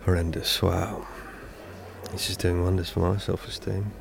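A man's voice: low-pitched, flat delivery, normal speed, vocal fry, noisy background. An actor with a British accent playing a nervous, self-deprecating man on a first date in an audio drama. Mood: hurt. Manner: hesitant, self-deprecating joking. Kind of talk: naturalistic audio drama dialogue.

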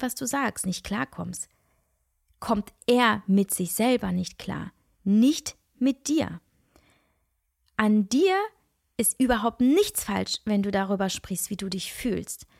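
Nasal-sounding woman with a gravelly edge. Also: mid-range voice, dry recording, clean studio sound. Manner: earnest, searching, many restarts and soft asides. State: nasal from a cold. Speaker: podcast host and coach. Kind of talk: reflective solo podcast monologue.

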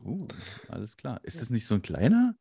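A man's voice: mid-range voice, wildly swooping pitch, brisk, vocal fry, dark tone, slightly rough, no echo. Guy hosting, jokey, bouncy up-and-down voice, dry sound. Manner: chatty, joking, frequent laughs and fillers. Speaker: podcast host. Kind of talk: casual interview chat.

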